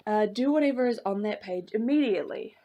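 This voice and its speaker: Slightly hoarse, gravelly young woman. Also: medium pitch, lively intonation, brisk, lo-fi sound. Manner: chatty, rambling with many "like" fillers and small laughs. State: slightly hoarse from a coming cold. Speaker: craft vlogger. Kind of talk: casual chat showing a journal.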